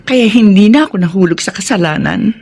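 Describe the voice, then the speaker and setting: A woman's voice: mid-range voice, lively intonation, fairly quick, a little mellow, lightly acted. An actress playing a devout, loving single mother in an earnest, heartfelt Filipino radio drama style. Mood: grateful. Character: devout, loving, self-sacrificing single mother, tearful and grateful.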